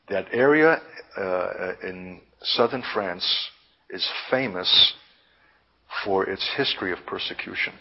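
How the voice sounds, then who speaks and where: Male preacher, lowish husky voice, deliberate and emphatic prophetic teaching with lofty vocabulary. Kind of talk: impassioned prophetic sermon, teaching. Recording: dull, lo-fi sermon recording.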